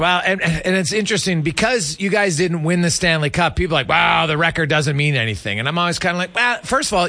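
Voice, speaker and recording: A man's voice: on the high side, bright, forceful tone, dry recording. A man hosting a sports radio interview, chatty and conversational, building long rambling questions.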